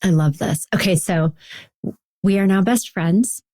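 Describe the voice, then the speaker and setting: A woman's voice: medium pitch, measured pace, warm tone, no echo, studio quality. A woman hosting a podcast interview in a conversational style. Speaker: podcast host, therapist.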